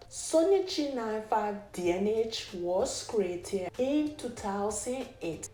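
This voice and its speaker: A woman's voice: mid-range voice, a little mellow, roomy sound. A young woman vlogging casually about hair care, chatting to her viewers and calling them guys. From Liberia. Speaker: natural hair vlogger and braider. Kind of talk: casual vlog chat and voiceover.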